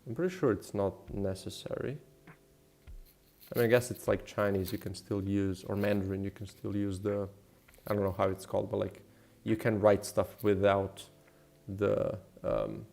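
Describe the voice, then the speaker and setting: A man chatting casually as he works on a livestream, rambling and thinking out loud. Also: fairly low pitch, normal speed, vocal fry. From Slovenia. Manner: rambling, thinking aloud, mildly profane. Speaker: game developer and streamer. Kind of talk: casual livestream chat while working. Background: background music.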